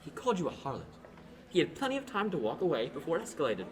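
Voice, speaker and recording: A man's voice: fairly high pitch, huge pitch swings, rapid-fire. A teen boy playing a sneering, arrogant nobleman. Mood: dismissive. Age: teens. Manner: sneering and dismissive. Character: arrogant, scornful young nobleman, rude and bossy. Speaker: student actor. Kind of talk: amateur radio play acting. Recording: noisy amateur home recording.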